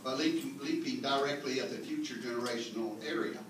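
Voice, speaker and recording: A man's voice: mid-range voice, a little fast. A man reading a tongue-in-cheek mock history as a lecture to a gathering, with mock-earnest explaining and folksy asides. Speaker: speaker reading a humorous mock history at a clan meeting. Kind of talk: tongue-in-cheek comic lecture read to an audience. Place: indoor meeting room with live audience. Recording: very echoey room, distant mic, faint noise.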